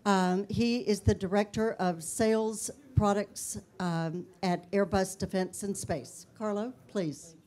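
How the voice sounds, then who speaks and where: Unhurried woman moderator. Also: mid-range voice, fairly even intonation, darkish tone. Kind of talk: introducing speakers. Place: conference hall.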